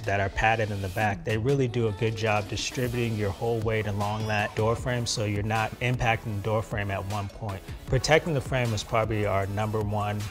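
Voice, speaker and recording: A man's voice: on the low side, fairly even intonation, a little fast, hardly any pauses. A man in his thirties, a company co-founder, explaining a product earnestly and conversationally in a promotional interview. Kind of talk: casual promotional interview talk. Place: large open office, indoors. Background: background music.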